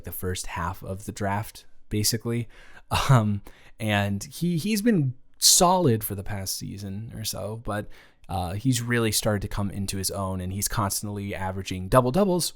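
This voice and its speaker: A man playing a caring, awkward young roommate in an improvised intimate audio roleplay, speaking casually with rambling, stammering, reassuring hesitation. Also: lowish voice.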